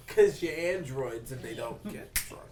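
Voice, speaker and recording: A woman at a cast table read, switching between script lines and joking, animated banter. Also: sits low. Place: indoor room at a group table read. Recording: noisy echoey room, far from the mic.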